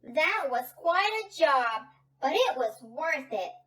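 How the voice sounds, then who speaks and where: Woman as a high-pitched little bear boy. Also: big pitch swings, slow, fairly bright tone, full character voice. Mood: relieved. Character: young bear cub boy, loud protesting brother.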